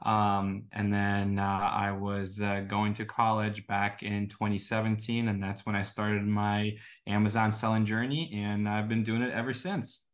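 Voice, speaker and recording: A man's voice: fairly low pitch, flat delivery, unhurried, few pauses. A man in his twenties from Estonia, answering interview questions casually with a conversational "you know" habit. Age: 20s. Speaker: guest, e-commerce entrepreneur.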